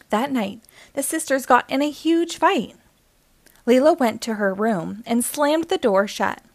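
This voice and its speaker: A woman narrates a children's story in a fairly high voice with very lively, swooping intonation. She reads expressively, as a storyteller for young listeners, and the sound is dry and close. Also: no echo. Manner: animated, acting out dialogue. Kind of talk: expressive read-aloud storytelling.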